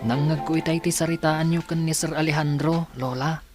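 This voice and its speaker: A man's voice: a little high, steady tone, fairly quick, loud music behind the voice, natural voice. A man playing an earnest young male student and devoted grandson in a radio drama, with a pleading manner. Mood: pleading, troubled. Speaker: voice actor.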